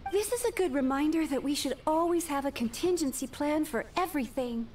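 High bright secretary girl, brisk, bouncy pitch. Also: expressive, slight background noise. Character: brisk young female secretary. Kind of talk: video game voice acting.

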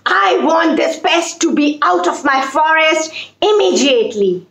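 A woman's voice: high-pitched, expressive, measured pace, gravelly, a bit bright, voice pushed up, full character voice. A woman with an Indian accent voicing a commanding, indignant lion king in a children's puppet story. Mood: furious, commanding. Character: angry imperious lion king of the jungle. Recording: roomy echo.

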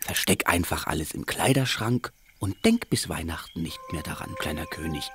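A man playing a wry, fond storyteller in a children's cartoon, expressive and gently exasperated. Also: low-pitched, moderate pace, creaky, noisy background. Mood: advising. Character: wry, fond male storyteller who chides the little king. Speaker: narrator talking with the main character. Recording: loud music under the voice.

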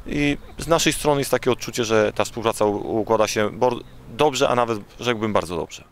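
A man's voice: on the low side, brisk. A man in his thirties giving hesitant, formal interview answers as a press officer. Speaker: fire brigade press officer. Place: outdoors, fire station yard. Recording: faint outdoor ambient noise.

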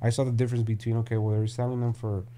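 A middle-aged man from Mexico, a record label CEO talking candidly in an interview in an office, blunt, with many fillers and restarts, into a studio mic on a boom arm. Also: level tone, racing, dark tone, slight background noise. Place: office room with desk and paintings.

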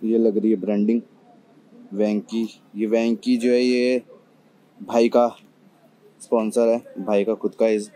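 A man's voice: on the low side, flat delivery, slow pace, pauses often, dark tone, a little hiss or hum, low-quality recording. A young man vlogging casually at a cricket stadium, chatty and laughing often, filmed on a handheld phone. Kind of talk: casual vlog chat.

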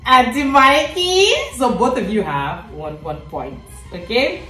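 Lively, playful woman from Cameroon. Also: on the high side, a little slow. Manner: playful, teasing quizmaster. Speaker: vlogger mother hosting a family quiz. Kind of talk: casual family quiz game. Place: indoor living room at home. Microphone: camera set back from the speaker. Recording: echoey room, distant camera, faint music.

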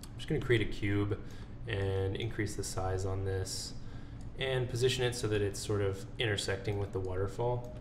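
A man with a lowish voice talks through a software tutorial in an informal way, thinking aloud as he goes. The recording is clearly echoey and noisy, with computer keyboard typing behind him. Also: steady tone, moderate pace. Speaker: tutorial presenter. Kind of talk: casual screen-recorded software walkthrough.

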